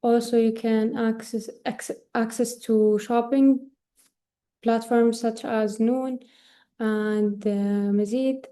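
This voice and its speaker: A woman's voice: a little high, fairly even intonation, slow pace, warm tone. A woman giving a screen-share demo on a video call. She talks hesitantly, with many fillers, and slips in Arabic words. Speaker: workshop presenter.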